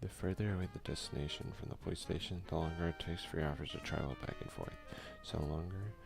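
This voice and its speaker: A young man doing a soft-spoken ASMR let's play, often slipping into whispers as he comments and reads out game text close to the microphone. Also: low voice, fast, vocal fry, over music. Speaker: gaming video creator. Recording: faint noise behind a home recording.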